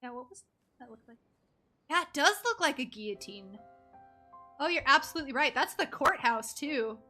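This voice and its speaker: A woman's voice: high voice, expressive, normal speed, sharp tone. A playful, reactive woman streaming a game with casual commentary. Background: game music.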